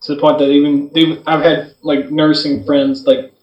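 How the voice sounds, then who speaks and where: A man with a slightly high voice chats on a podcast from a little way off the microphone in an echoey room. He recounts personal anecdotes matter-of-factly, in halting, casual phrases. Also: level tone, highs cut off. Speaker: podcast co-host. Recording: roomy, distant from microphone.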